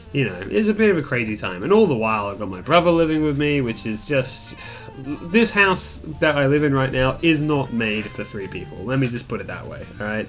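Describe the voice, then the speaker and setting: Candid young man rambling over calm guitar music. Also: mid-range voice. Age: young adult. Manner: rambling, candid, mildly profane, self-deprecating. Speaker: gaming video creator telling a personal story. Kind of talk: casual storytime chat over gameplay. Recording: music behind the voice.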